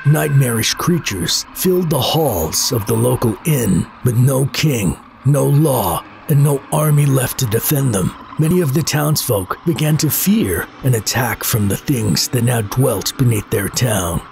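A man delivers dramatic scripted narration like an ominous storyteller. His mid-pitched voice has a gravelly creak, and faint music plays behind him. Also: darkish tone. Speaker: video narrator.

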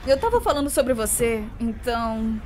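A young Brazilian woman voicing a brash, playful, warm-hearted cartoon adventurer in a casual, joking, hesitant way for a fan dub, over music. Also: a little high, sing-song, moderate pace, a little hiss or hum. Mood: awkward, playful. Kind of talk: animated series fan dub.

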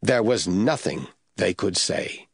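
A man narrating scripture from a script as the storyteller of an audio drama, with a husky voice. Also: deep voice, expressive, slow pace, no room sound, clean studio sound.

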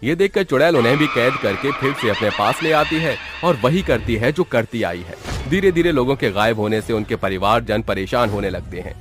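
A man's voice: medium pitch, animated, normal speed, hardly any pauses, over music. A man narrating a scripted story in a dramatic storytelling style.

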